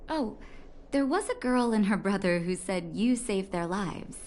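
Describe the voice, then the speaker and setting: A woman voicing a caring, composed clinic doctor with a gentle, polite manner in a video game. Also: medium pitch, expressive, a little slow, a little hiss or hum, close to an everyday voice. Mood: gracious, busy. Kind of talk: voiced game story dialogue.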